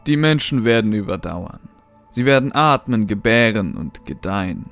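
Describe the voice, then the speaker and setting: Casting: man to play zealot scientist, horror audio play, deep-leaning voice, solemn and grandiose delivery. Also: sing-song, moderate pace, creaky, a bit bright, faint music behind, exaggerated voice. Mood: exalted. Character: fanatical male scientist preaching a new cosmic order. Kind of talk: horror audio drama acting.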